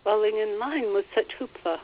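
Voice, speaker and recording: A woman leading a guided meditation, relaying a guide's words in a gentle, soothing way and often repeating phrases. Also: mid-range voice, normal speed, muffled recording. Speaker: spiritual teacher leading a meditation.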